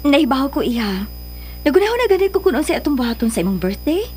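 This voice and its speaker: A Filipino mother speaks in a high, swooping voice, tender and doting but holding something back. It is radio drama delivery, with music under the lines. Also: very animated, fast, darkish tone. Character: mother of a grown daughter, doting yet guarded. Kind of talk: Philippine radio drama acting.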